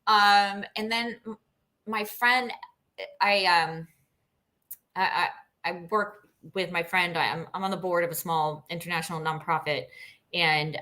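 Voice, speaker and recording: Interview guest: middle-aged woman from Costa Rica, forward bright tone, slightly low, casual animated storyteller.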